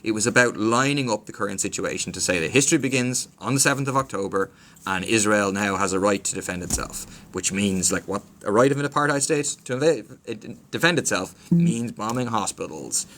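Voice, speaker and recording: Irish man, lowish and bright, faint hiss; earnest livestream Q&A host reading comments. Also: brisk. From Ireland. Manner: reads comments aloud, frequent ums, earnest and persuasive. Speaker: politician answering viewer questions. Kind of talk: casual livestream Q&A.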